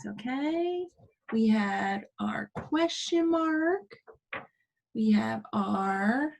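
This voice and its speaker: Female teacher addressing children online: encouraging, unhurried, instructional, faint background noise and clicks. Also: on the high side, creaky, poor audio. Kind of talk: talking to young pupils in an online lesson. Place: online screen-share session.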